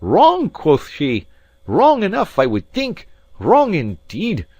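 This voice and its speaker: A man voicing a fierce, roguish Scottish Highlander in an audiobook, blustering and emphatic. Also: very animated, normal speed, darkish tone, breathy edge, no echo. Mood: furious, indignant. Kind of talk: dramatised audiobook reading of a classic adventure novel.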